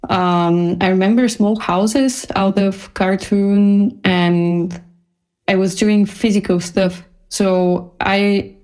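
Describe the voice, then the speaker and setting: A woman's voice: mid-range voice, a little mellow. A woman from Bulgaria, a designer speaking casually as a guest in an interview.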